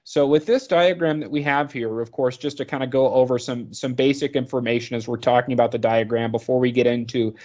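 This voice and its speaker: Male product trainer, mid-pitched, conversational and explanatory, informal slide narration, dull lo-fi recording. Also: fairly even intonation, fairly quick, hardly any pauses, a little mellow. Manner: conversational, explanatory, thinking aloud.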